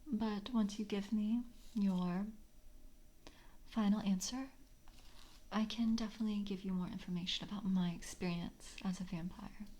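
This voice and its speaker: A woman voicing a calm, jaded vampire screener in an ASMR roleplay, speaking softly in a breathy half-whisper with a measured, politely official manner. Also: medium pitch, close to an everyday voice. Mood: calm, businesslike. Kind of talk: soft-spoken ASMR roleplay.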